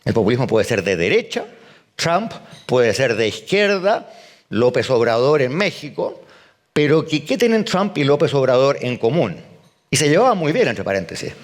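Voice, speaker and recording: An older man delivers a conference keynote with real punch. His voice sits fairly high for a man and swings widely in pitch as he hammers home each point. He keeps asking rhetorical questions and answering them himself. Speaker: economist speaker. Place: event hall.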